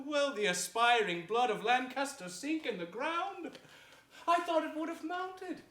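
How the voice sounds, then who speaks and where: A gloating hunchbacked villain of the Shakespearean stage, high and bright in voice. He declaims with scornful, savage relish and drawn-out menace, heard across a roomy theater. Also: heavily acted. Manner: mocking, declamatory, with drawn-out sinister emphasis. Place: indoor theater stage before an audience.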